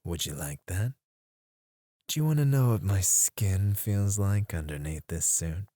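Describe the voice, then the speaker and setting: A man voicing a teasing, menacing male captor who interrogates a prisoner in an ASMR roleplay, in a slow, intimate voice with soft chuckles and whispers on a close mic. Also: deep voice, animated, dry recording.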